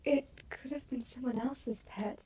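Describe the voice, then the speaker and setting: Lady doing a gentle reassuring soul for audio rp, really high but mellow, kinda breathy. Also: unhurried, slight background noise. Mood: mild, reassuring. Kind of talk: audio roleplay voice acting.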